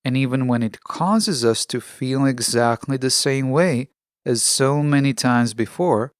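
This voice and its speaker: A man hosting a podcast, delivering a scripted self-help monologue in measured, deliberate phrases, close on the microphone. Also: medium pitch, no echo. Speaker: podcast host.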